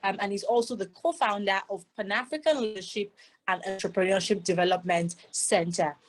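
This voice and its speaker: A woman's voice: medium pitch, brisk. Enthusiastic woman moderator, mid-range voice, gushing introductions. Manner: effusive, enthusiastic. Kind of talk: conference hosting.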